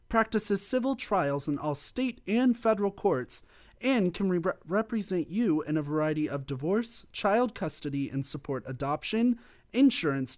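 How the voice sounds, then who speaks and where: A man reading a scripted radio advertisement as a commercial announcer. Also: a little high.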